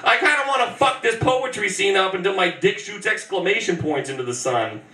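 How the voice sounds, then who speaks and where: Twenty-something guy doing slam poetry at a stand mic, bright sharp voice, picked up far off in an echoey club. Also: sits high, animated, brisk. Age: 20s. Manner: rhythmic, punchy delivery with conversational asides. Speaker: performance poet. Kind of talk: spoken word performance with comic asides. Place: small club stage with band gear behind. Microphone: stand mic, filmed from the back of the room. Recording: roomy distant live club recording.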